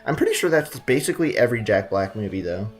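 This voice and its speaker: A man's voice: lowish voice, very animated, fast. A man chatting casually and jokingly with viewers as he streams a game, using plenty of slang. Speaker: live streamer. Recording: game music behind the voice. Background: game music.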